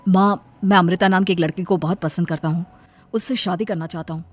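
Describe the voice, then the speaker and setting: A woman's voice: lowish voice, steady tone, a little fast, close to an everyday voice. A woman voicing an earnest, lovestruck young man in a storybook tale, pleading and insistent. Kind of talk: storybook character dialogue. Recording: background music under the voice.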